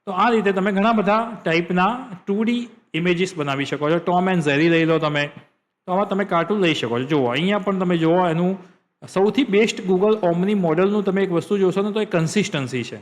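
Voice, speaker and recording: A man with a Gujarati accent and a fairly high voice hosts a software tutorial. He explains what is on screen step by step, repeating phrases to drive the point home. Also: fairly quick. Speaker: tutorial host.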